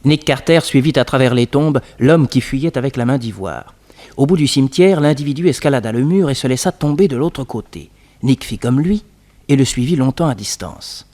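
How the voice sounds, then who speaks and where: A man's voice: medium pitch, lively intonation, moderate pace, a little mellow. A man giving scripted narration for a 1960s French detective radio serial.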